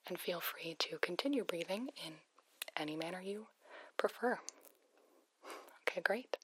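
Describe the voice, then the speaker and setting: A woman whispers breathily through a phone voice effect, gently guiding a relaxation ASMR roleplay as a soothing sleep hotline specialist. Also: on the low side, lively intonation, husky, low-quality recording. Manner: slow, soothing, gently instructive. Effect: phone voice filter.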